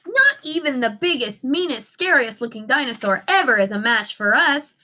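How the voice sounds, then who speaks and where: Woman playing a plucky, high-voiced little girl dinosaur. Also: very animated, normal speed, pushed and bright, heavily acted. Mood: rallying. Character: Tiny, observant, plucky young girl dinosaur in storybook.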